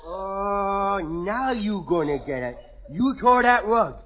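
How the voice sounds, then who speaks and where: A man's voice: sits high, lively intonation, slow pace. A bratty little boy in baby talk. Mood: bossy, gleeful. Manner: childish ungrammatical baby talk. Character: mischievous little boy Junior, bratty and cheeky. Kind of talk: 1940s radio comedy acting. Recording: noisy lo-fi vintage radio broadcast.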